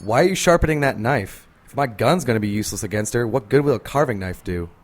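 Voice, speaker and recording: Man acting a skeptical, expressive police detective. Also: medium pitch, quick pace, lightly acted. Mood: uneasy. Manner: matter-of-fact, doubting. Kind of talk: audio drama acting.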